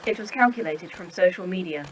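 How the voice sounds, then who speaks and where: Woman doing calm literal computer ai, clipped and factual, low-ish female voice, sci-fi audio drama. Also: brisk, slight background noise, lightly acted, rough recording. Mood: detached, factual. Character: literal, unflappable A.I. of a secret facility.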